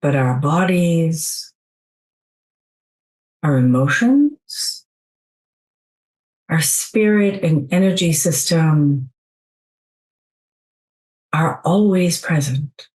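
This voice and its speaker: A woman leading a guided meditation in a soothing, gentle, unhurried way, leaving long pauses between phrases. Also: deep voice, animated, rough recording.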